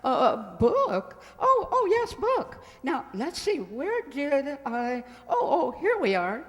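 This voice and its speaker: A high-pitched, nervous small-town librarian played by a woman in an old radio mystery. She speaks slowly and hesitantly, fussing and stammering over her words. The voice rings with long hall reverb. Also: wildly swooping pitch, heavily acted. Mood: flustered.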